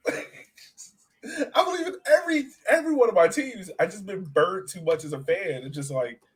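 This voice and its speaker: Excitable man chatting casually about sport. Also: sits high, normal speed. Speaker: soccer fan podcast co-host. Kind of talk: casual sports podcast chat.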